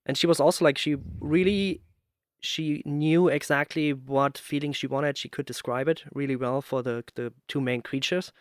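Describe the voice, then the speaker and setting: A man's voice: on the high side, steady tone, moderate pace, clean tone, no echo. Casual male interviewer, dry close sound. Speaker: podcast host and sound professional.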